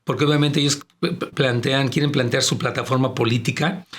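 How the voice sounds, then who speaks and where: A man's voice: medium pitch, fairly even intonation, fairly quick. A man with a Latin American Spanish accent and a strong, projecting voice, speaking as a pastor hosting a church program and explaining his views conversationally to his brethren. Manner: addresses listeners as brethren, explanatory. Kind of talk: conversational religious and political commentary.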